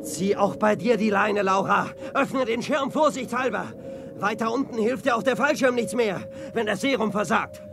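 A man's voice: high voice, moderate pace, vocal fry, noisy background. A man playing a brave, eager young adventure hero in a 1980s sci-fi radio play for children. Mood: earnest. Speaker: voice actor.

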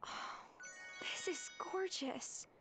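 A woman's voice: slow, music in the background, close to an everyday voice. An actress playing a tender, caring young woman in love in a video game. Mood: touched, loving. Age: young adult.

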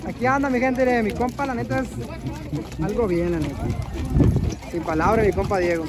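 High-pitched man vlogging a horse ride. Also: moderate pace, heavy background noise. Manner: slangy, joking, upbeat. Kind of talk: casual vlog chat. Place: outdoors at a rural horseback ride. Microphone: handheld phone.

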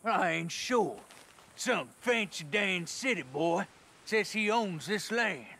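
An actor playing an insolent, lazy squatter in a western video game, with a sardonic rural American drawl. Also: male voice, high voice, animated, takes it slowly, creaky, bright, forceful tone, slight background noise, heavily acted. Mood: mocking, unbothered.

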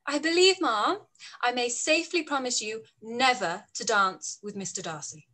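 A woman's voice: fairly high pitch, normal speed, pushed and bright. A young woman playing a witty, spirited Regency daughter, wry and poised, in a period drama read-through. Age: young adult. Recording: noisy home video call.

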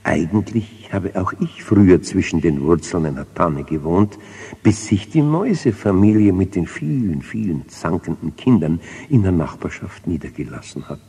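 An earnest, peace-loving little root gnome muses in a children's storybook, voiced by an older man. The voice is deep and very husky, with a reflective manner. Long reverb hangs around it on an old cassette recording. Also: lively intonation, normal speed, mellow, full character voice.

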